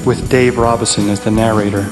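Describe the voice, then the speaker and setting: A man announces scripted credits in a slightly low voice. His intonation stays flat, and guitar music plays loudly behind him. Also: brisk.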